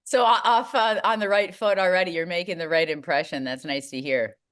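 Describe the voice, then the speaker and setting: A woman's voice: fairly low pitch, steady tone, brisk, fairly bright tone. A woman hosting a sports podcast, chatty and upbeat, with self-deprecating humor. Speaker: podcast host. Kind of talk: casual interview hosting.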